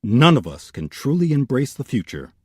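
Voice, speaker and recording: A man narrating a scripted history documentary as host, in a measured, emphatic manner. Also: medium pitch, sing-song, darkish tone, breathy edge, no echo, clean studio sound.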